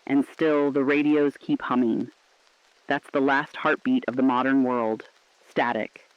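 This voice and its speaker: A mid-pitched male voice of an actor plays a resolute co-anchor in an apocalyptic radio drama. The delivery is somber and deliberate, on a slightly dull recording. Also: fairly even intonation, smooth, dry recording, natural voice. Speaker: radio co-host and correspondent. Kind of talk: scripted dramatic apocalyptic news broadcast. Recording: slightly dull, dry studio sound.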